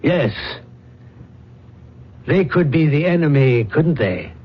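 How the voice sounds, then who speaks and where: An actor playing a cool, calculating controller of an alien planet in a radio drama, speaking in a measured, insinuating way. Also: male voice, on the high side, expressive, breathy edge, exaggerated voice. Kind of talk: old-time radio drama acting. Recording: dull, muffled radio drama recording.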